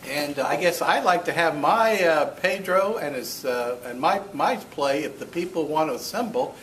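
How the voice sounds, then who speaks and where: A man giving an informal, halting announcement to organize rehearsals. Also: fairly high pitch, expressive, a bit bright, a little hiss or hum. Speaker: club member organizing rehearsals.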